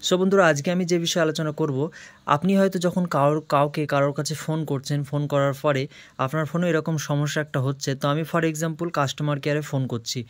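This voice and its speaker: A man's voice: fairly high pitch, steady tone, quick pace, no room sound. A man hosting a tech tips video, explaining phone steps one by one in a repetitive tutorial style. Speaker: host of a tech tips channel.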